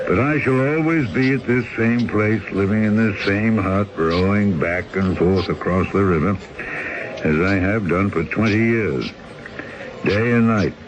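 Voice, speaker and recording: A man's voice: fairly low pitch, background noise. An actor playing a weary, fatalistic old Siberian boatman in an old-time radio drama, speaking in a stern, philosophical, declamatory way. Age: older.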